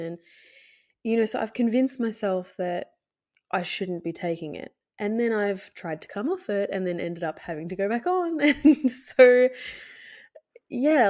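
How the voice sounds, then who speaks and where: A woman with a cold, sounding hoarse and nasal, hosting her podcast in a candid, hesitant way with many fillers and soft laughs. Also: on the low side. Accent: Australian. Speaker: podcast host. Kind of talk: casual solo podcast talk.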